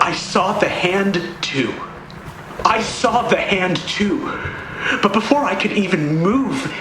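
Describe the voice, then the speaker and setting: Casting: a man to play a shaken male musician giving horror testimony in a radio play; high-pitched, slow, repeating himself. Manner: halting, repeats phrases. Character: frightened touring musician recounting a horror under questioning. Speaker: actor in an audio play. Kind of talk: dramatic audio play monologue. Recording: roomy echo, faint background noise.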